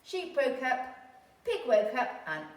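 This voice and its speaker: A woman reading a storybook aloud to young children like an infant school teacher, with expressive, drawn-out storytelling. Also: high voice. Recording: roomy, distant microphone.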